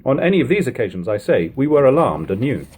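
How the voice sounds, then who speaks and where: Expressive, husky male audiobook narrator. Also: medium pitch, quick pace. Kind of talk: dramatic audiobook reading of 18th-century prose.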